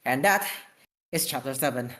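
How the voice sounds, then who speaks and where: An amateur reading fan fiction aloud and chatting casually, halting and often repeating words. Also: medium pitch, lively intonation, fairly bright tone. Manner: halting, stumbling over words and repeating them. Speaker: author reading own story aloud.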